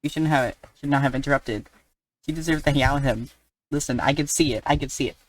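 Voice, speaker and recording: An excitable game streamer who swears freely and keeps talking to the chat, at a middle pitch. The voice sits a little back from the mic. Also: fast talker, far from the mic, poor audio. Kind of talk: live game reaction.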